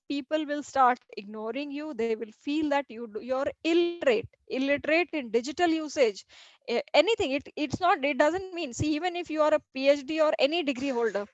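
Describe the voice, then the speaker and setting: A woman's voice: high voice, no room sound. A middle-aged woman teacher with an Indian English accent, native Hindi speaker, lecturing a class and often restarting and repeating her phrases. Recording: dull lo-fi dry recording.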